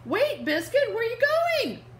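A woman voicing a cheerful little girl in a storybook, speaking in bright sing-song exclamations. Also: very high voice, wildly swooping pitch, unhurried, voice pushed up, exaggerated voice.

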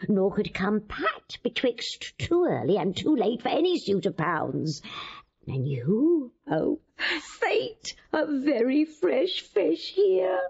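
Husky, teasing old court lady. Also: a little high, mellow, dull audio, exaggerated voice. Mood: envious, exclaiming. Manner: teasing, mocking, worldly. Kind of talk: classical Shakespearean radio drama acting.

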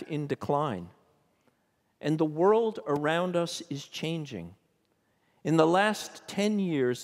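An older man, a bishop, preaching a sermon from a pulpit in a church sanctuary, measured and questioning in tone. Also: fairly high pitch. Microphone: pulpit microphone. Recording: long church reverb.

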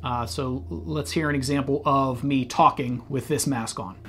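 A man's voice: mid-range voice, fairly even intonation, moderate pace, a bit bright, husky. A man in his thirties, a channel host, chatting casually and explaining practical details in a small indoor studio. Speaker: channel host reviewing masks. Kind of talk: casual review talk.

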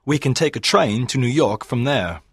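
A man's voice: mid-range voice, lively intonation, normal speed, husky, no room sound, clean studio sound. A man playing a brusque, matter-of-fact seafaring and travelling man in an audiobook drama. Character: gruff male ship's captain and other travel men.

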